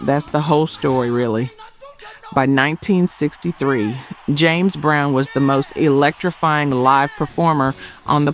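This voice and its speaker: A man giving scripted documentary narration in short, emphatic, dramatic phrases. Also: fairly high pitch. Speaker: narrator of a music history video.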